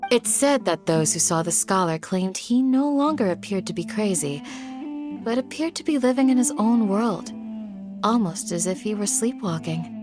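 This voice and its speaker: A woman voicing a composed, gentle and resolute village guardian in a video game, with measured delivery. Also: medium pitch, lively intonation, music in the background, natural voice. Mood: gentle, solemn.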